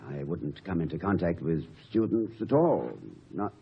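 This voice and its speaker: An actor playing a gentle, wry, middle-aged college president with a hesitant, wordy way of talking, in a 1950s radio drama. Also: male voice, sits low, animated, moderate pace. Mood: hesitant, downcast. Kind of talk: 1950s American radio comedy-drama acting. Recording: muffled, noisy old radio recording.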